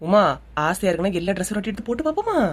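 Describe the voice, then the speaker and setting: A woman voicing a bold, practical younger woman in a cartoon comedy, brisk and persuasive, with a Kanyakumari Tamil accent. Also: medium pitch, very animated.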